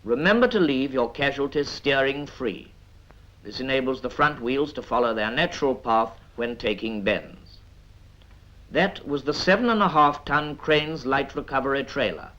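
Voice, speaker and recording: A British man reads scripted 1950s military training film narration in a measured, instructive manner with dry asides. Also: mid-range voice, fairly bright tone. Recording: dull vintage 1950s film soundtrack.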